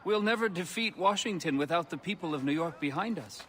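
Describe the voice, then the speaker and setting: An actor playing an articulate, formal and earnest revolutionary gentleman in game drama dialogue. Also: on the high side, animated, brisk, a little hiss or hum. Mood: earnest, persuasive.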